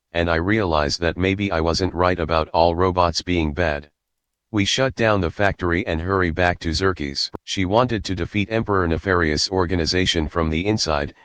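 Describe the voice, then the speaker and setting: A man's voice: low voice, a bit bright, no room sound. A man narrating, reading a story script aloud.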